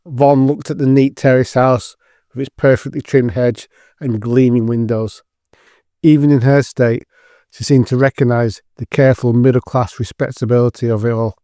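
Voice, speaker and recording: A man's voice: mid-range voice, little pitch movement, moderate pace, mellow, no echo, clean studio sound. A man giving scripted narration as the storyteller of an audio drama.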